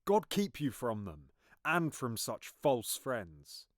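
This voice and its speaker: A man playing a smooth, flattering, sinister royal uncle, speaking measured verse in a Shakespearean audio drama. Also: medium pitch, no echo, studio quality. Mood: smoothly warning.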